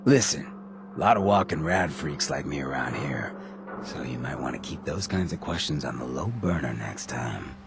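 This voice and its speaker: An actor playing a swaggering, roguish ghoul town boss in a video game, cocky and folksy with veiled menace, in a gravelly voice. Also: male voice, rumbling low, animated, moderate pace, over loud music, exaggerated voice. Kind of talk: video game character acting.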